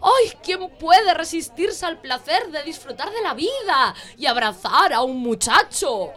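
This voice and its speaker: A woman acts out a theatrical lady hostess in an audio drama, high in pitch and lavishly melodic. She addresses a ball at a slow, savoring pace, effusive and full of sighs and giggles. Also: expressive, fairly bright tone. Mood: gushing delight. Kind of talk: audio drama announcement speech.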